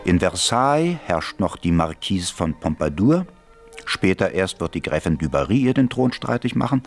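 A man's voice: deep voice, big pitch swings, normal speed, faint music behind. A man narrating a radio play as a wry, theatrical storyteller.

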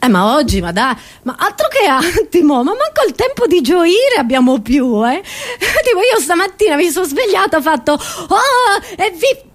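A woman's voice: extremely high pitch, sing-song, moderate pace. A woman performing a theatrical comic monologue to an audience, scatterbrained and exclamatory, often breaking off and repeating her words.

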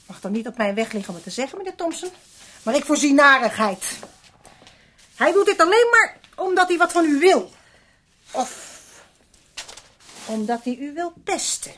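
Een vrouw die in een hoorspel een verontwaardigde, bazige huishoudster uit de volksklasse speelt, mopperend en aandringend. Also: female voice, sits high, very animated, moderate pace, pauses often, a bit bright. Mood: suspicious nagging. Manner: scolding, insistent, grumbling. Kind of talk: Dutch radio play acting. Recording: old radio recording with faint noise.

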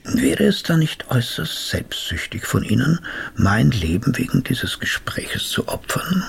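An actor playing a courteous, wry psychotherapist in a radio drama, with a polite, old-fashioned, professorial way of speaking. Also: male voice, mid-range voice, very animated, unhurried, gravelly, husky, whispered, full character voice. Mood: curious, wry. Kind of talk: radio drama acting and narration.

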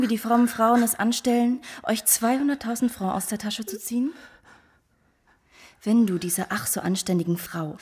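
An actress playing a sly, mercenary and scornful mistress in a German radio drama, mocking and commanding in a theatrical way. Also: female voice, mid-range voice, darkish tone, husky. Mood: scornful, threatening.

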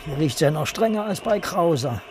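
A man's voice: a little high, lively intonation, normal speed, music in the background, natural voice. A man playing a cynical police detective in a radio crime drama, with a dry, clipped, sarcastic delivery. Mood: sardonic. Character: male police detective, cynical, sardonic wisecracker. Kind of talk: naturalistic German radio drama acting.

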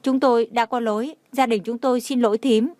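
A woman's voice: a little high, fairly even intonation, brisk, a little mellow, dry recording, lightly acted. Woman voicing a tearful, pleading young woman. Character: humble, remorseful young woman begging forgiveness.

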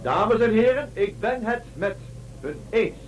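A man's voice: high voice, lively intonation, unhurried, a little hiss or hum, low-quality recording. A man playing a solemn starship commander giving a formal, declamatory address in a science-fiction radio drama. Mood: solemn, resolute.

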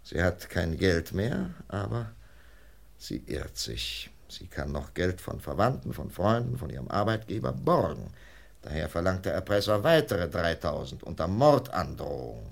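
An actor playing a methodical, persuasive FBI investigator in a German radio crime drama, measured, lecturing and emphatic. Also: male voice, low-pitched, very animated, creaky, exaggerated voice. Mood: insistent, persuasive.

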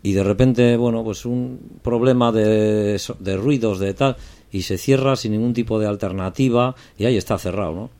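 A man's voice: fairly low pitch, normal speed, warm tone. A middle-aged man, a band's drummer, chatting and joking in a radio interview. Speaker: band drummer.

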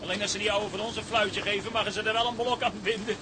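A man's voice: sits high, steady tone, quick pace, noisy background, close to an everyday voice. A man playing a cheeky deckhand who jeers and calls out mockingly, in radio drama style. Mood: wry.

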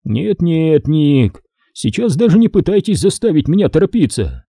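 Casting: male narrator as haughty, depraved major in an audiobook thriller, raised pitch, swooping sardonic courtesy, dark tone. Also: sing-song, moderate pace, no room sound, studio quality. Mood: indignant, unhurried. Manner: mannered, mockingly courteous speech.

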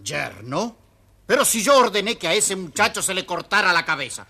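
Give a scheming, irritable storybook king. His voice sits fairly high and has a bright, forceful edge. He is cruel and theatrical, as in a children's record. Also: sing-song, moderate pace, gravelly, husky, heavily acted. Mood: angry, commanding.

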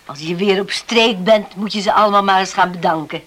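An actress playing a gentle, devout, middle-aged island mother in a radio drama, soothing and unhurried. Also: mid-range voice, lightly acted. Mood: motherly.

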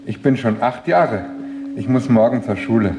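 A man's voice: medium pitch, moderate pace, background noise, close to an everyday voice. Radio drama role: male actor as a simple, polite man-child, voice ringing in a long echoey hall. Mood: childlike, polite. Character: infantile grown man acting like a polite eight-year-old boy.

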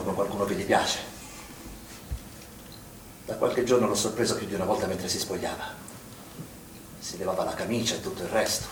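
A man's voice: fairly low pitch, lightly acted. An actor playing an anxious, accusing father who is protective of his children, in an insistent stage-drama style. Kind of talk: stage theatre acting. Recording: echoey room, distant mic, background noise.

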